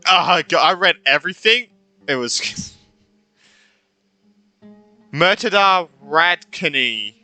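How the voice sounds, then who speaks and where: Very bright-voiced man doing game commentary. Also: sits high, animated, slow pace, pauses often, dry recording, faint music behind. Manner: rambling, muttering asides. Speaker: gaming video creator. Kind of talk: casual game playthrough commentary.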